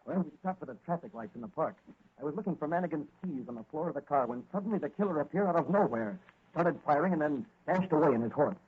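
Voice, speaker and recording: An actor playing a brave young cop turned masked avenger in earnest, declamatory 1940s radio style. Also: male voice, a little high, expressive, fast talker, breathy edge. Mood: determined. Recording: dull, muffled old radio recording.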